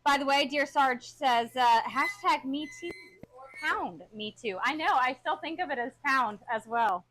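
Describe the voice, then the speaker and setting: A woman co-hosting a cooking stream, reading out chat and teasing her husband in quick banter. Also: high-pitched, lively intonation, fairly bright tone, low-quality recording. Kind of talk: casual live-stream chat.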